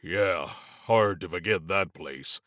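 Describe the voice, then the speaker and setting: A man's voice: sits low, slow pace, a bit bright, dry recording, studio quality. A man voicing a blunt, wary male investigator in an audiobook, with a direct, terse manner. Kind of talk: audiobook character acting.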